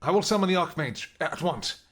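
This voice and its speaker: Man acting a devoted, anguished vampire king, slightly husky. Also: a little high, lively intonation. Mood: alarmed, commanding. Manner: gentle, halting, earnest, formal old-fashioned phrasing. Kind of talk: roleplay audio drama acting.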